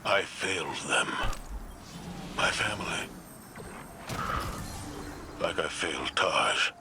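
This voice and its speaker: A low-voiced, weary commander, speaks slowly and with heavy restraint. He sounds solemn and grief-worn, a game character set against clearly noisy ambience. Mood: grieving, guilty. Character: grieving, weary veteran commander haunted by failure. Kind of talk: subdued dramatic game acting.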